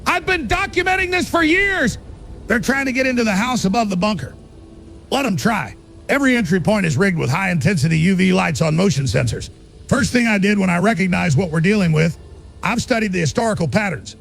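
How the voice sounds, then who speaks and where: A paranoid survivalist radio ranter, acted by a man in an audio drama. His voice sits high for a man and rasps with gravel. He is urgent, fervent and insistent, as if warning listeners from a bunker. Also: a bit bright, heavily acted. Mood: frantic shouting, then urgent instructing. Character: paranoid male conspiracy broadcaster in a bunker. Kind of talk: audio drama monologue as pirate radio broadcast.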